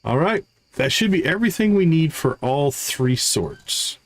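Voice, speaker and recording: A man's voice: a little high, lively intonation, measured pace, slight background noise. A man giving casual live gameplay commentary, thinking aloud as he counts off items and talks through his tasks. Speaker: live streamer, gaming host.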